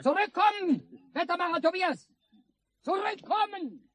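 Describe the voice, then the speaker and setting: Officious old-school village sergeant, high barky voice all over the place, 50s radio drama. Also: male voice, expressive, unhurried, a bit bright, no room sound, full character voice. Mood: irate, commanding. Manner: curt, officious. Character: bossy village schoolmaster playing sergeant, barking orders. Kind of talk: 1950s German radio drama acting.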